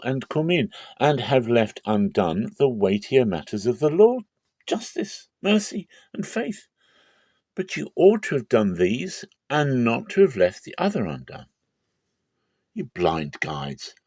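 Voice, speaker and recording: A man's voice: mid-range voice, darkish tone, no room sound. A man playing a stern, indignant teacher denouncing hypocrites in an emphatic, declamatory dramatic scripture reading. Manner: emphatic, declamatory, swooping delivery.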